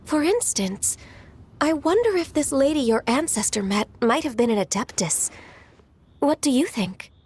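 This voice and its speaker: A woman playing a gentle, polite and earnest young half-human secretary in an anime video game. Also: high voice, expressive, moderate pace. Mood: gentle, sincere.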